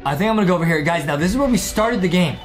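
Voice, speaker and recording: A young man hosting a gaming video with excited, casual, slangy commentary, often repeating his phrases. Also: high-pitched, racing, music in the background. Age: young adult.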